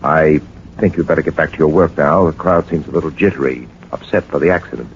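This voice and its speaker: An actor playing a suave, composed gentleman detective, polite and measured, in a 1940s radio drama. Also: very deep voice. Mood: calm, probing. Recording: muffled, hissy 1940s radio broadcast recording.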